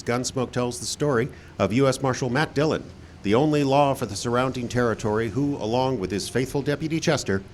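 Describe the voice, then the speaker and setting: Male radio show host, mid-pitched. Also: slight background noise. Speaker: host and announcer. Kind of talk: scripted radio show introduction. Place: live radio show in a park.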